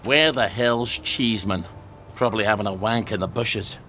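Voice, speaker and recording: An actor playing a blunt, guilt-ridden Scottish ex-sergeant in a dramatic video game. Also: male voice, lowish voice, moderate pace, bright, forceful tone, a little hiss or hum. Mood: gruffly cocky.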